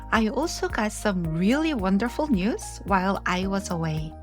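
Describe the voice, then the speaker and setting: Middle-aged woman hosting a podcast, a bit scratchy, reflective and slow, soft music behind. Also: medium pitch. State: slightly scratchy voice after strep throat. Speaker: podcast host. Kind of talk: scripted podcast narration.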